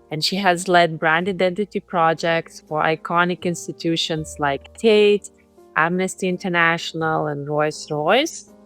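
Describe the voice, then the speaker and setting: A woman's voice: deep voice, a bit bright. A woman hosting a podcast, eagerly introducing her guest and asking long, winding questions. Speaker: podcast host. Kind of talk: interview hosting.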